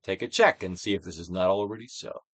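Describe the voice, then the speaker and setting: A man giving a dramatic, Shakespearean-style reading of a self-help book, deliberate and theatrical, with drawn-out emphasis. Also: no room sound. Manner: theatrical Shakespearean delivery, swinging from hushed to booming. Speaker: audiobook reader.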